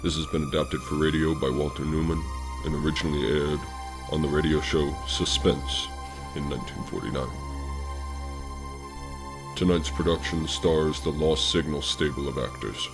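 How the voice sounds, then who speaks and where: A man's voice: a bass voice, steady tone, normal speed, over music. A man formally introducing a radio drama production in a scripted, solemn manner. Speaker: announcer introducing a radio play.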